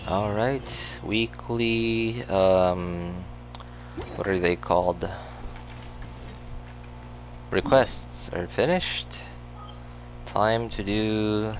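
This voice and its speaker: A man's voice: fairly low pitch, fairly even intonation, very slow, vocal fry, pauses often, a little hiss or hum. A man with a Filipino accent, whose first language is Cebuano, chatting casually while he plays a game, rambling with lots of fillers and self-corrections. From Philippines. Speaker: gaming streamer.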